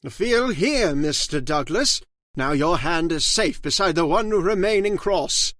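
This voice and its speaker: An actor playing a credulous old man steeped in occult lore, speaking slowly and solemnly in old-time radio drama style. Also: a little high, animated, fairly bright tone, dry recording, clean studio sound. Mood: grave. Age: older. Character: credulous old male scholar of the occult, grave.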